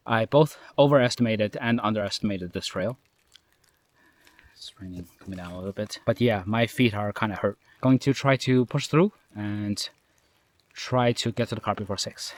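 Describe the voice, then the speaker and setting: A man's voice: fairly low pitch, no echo. A man recording himself while hiking alone outdoors, sounding nasally and a bit tired, talking in a rambling, self-mocking way. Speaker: solo hiker vlogging. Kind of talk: casual self-recorded trail diary. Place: outdoors on a forest trail in rain. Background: stream and forest ambience.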